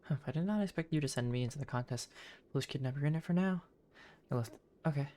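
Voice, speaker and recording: A man's voice: on the high side, quick pace, mellow. A man giving casual gameplay commentary as a game streamer.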